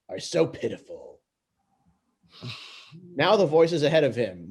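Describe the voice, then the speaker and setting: A man reading stage directions aloud in an amateur script read-through, halting and tripping over words. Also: medium pitch, warm tone.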